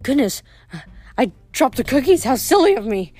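A woman's voice: high voice, big pitch swings, moderate pace, dark tone, breathy edge, dry recording, pitched above the performer's own voice, exaggerated voice. A woman voicing a frail, addled old mother in a storybook, exclamatory and wandering, with dreamy, doting sweetness. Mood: cheerful, vague.

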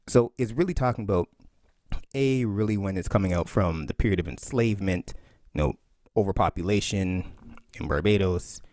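A man in his thirties, an author answering interview questions in an animated way, laughing often and acting out quotes. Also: low voice, dry recording, studio quality. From Canada. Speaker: author and historian.

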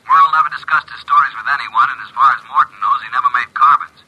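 An actor playing an eager, brisk detective's assistant reporting over a telephone filter in classic radio drama style. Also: male voice, mid-range voice, breathy edge. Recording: thin, band-limited telephone line sound.

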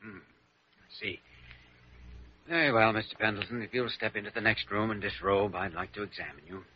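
An actor playing a patient, level-headed doctor with dry wit, courteous and measured, in an old-time radio drama. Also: male voice, on the low side, steady tone, a little hiss or hum, natural voice. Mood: calm, professional. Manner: courteous, measured, drily sardonic. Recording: dull, lo-fi vintage radio recording.

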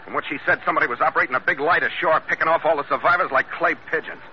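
Actor as hard-boiled American sea captain, noisy old recording. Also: male voice, fairly high pitch, very fast, highs cut off, close to an everyday voice. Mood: grim. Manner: hard-boiled, terse delivery. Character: tough, principled American sea captain and narrator. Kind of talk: old-time radio adventure drama acting and narration.

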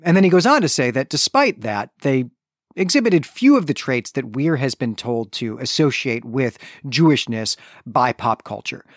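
A man's voice: medium pitch, animated, normal speed, no echo. A man speaks as a podcast co-host in a mid-range voice, recorded dry and close. He recaps and comments on a book methodically, signposting his points and wandering into wordy asides.

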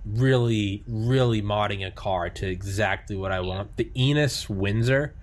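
Excitable rambling man, podcast host. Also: fairly low pitch, measured pace. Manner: rambling, excitable, heavy swearing and filler words. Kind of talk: casual chat.

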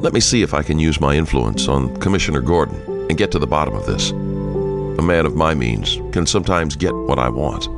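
An actor playing a composed, brooding wealthy male vigilante in an audio drama, gravelly and measured, with dry wit. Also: a bass voice, expressive, loud music behind the voice, exaggerated voice. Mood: composed, courteous.

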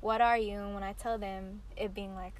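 A young woman leading a casual group chat, speaking softly and filling her sentences with "like". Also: mid-range voice, flat delivery, moderate pace, slight background noise. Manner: frequent "like" fillers, soft-spoken. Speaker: discussion leader.

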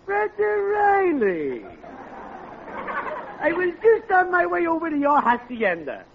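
An actor playing a self-important, scheming American neighbor, mock-grandiose and easily offended, in 1940s radio sitcom style. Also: male voice, very high voice, huge pitch swings, slow pace, gravelly, crowd noise, heavily acted. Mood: flattering, chummy. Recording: dull, noisy old radio recording.

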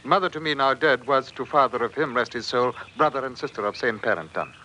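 An actor playing a sly gentleman cousin in an old radio drama, feigning sorrowful concern in measured, quaint, formal phrases. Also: medium pitch, lightly acted, poor audio. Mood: formal, polite. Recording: vintage radio recording, faint noise.

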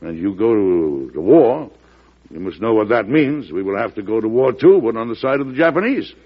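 An actor playing a forceful, shrewd American president in an old radio drama, frank and emphatic, with an American accent. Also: male voice, fairly low pitch, big pitch swings, normal speed, breathy edge. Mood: stern. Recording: muffled vintage radio broadcast recording.

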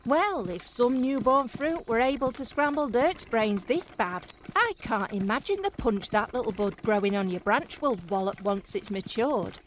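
A woman playing a sharp-tongued, suspicious elf adventurer in a fantasy comedy audio drama, blunt and sardonic. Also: a little high, animated, moderate pace, hardly any pauses, slight background noise, close to an everyday voice.

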